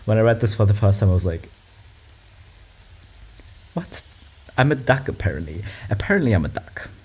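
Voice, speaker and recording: Guy, lively swoopy voice, reacting to memes, rambly and chill. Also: fairly low pitch, normal speed, husky. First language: German. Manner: rambling, animated, laughs often, swears. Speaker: video creator reacting to memes. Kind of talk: casual meme reaction chat.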